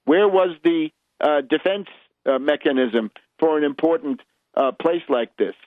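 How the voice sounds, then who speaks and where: A man hosting a radio show, giving opinionated political commentary with emphatic, deliberate, halting phrasing and a mocking edge. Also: on the high side, no echo. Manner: emphatic, rhetorical questions, mocking when quoting. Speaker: radio host. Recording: narrowband, muffled radio broadcast sound.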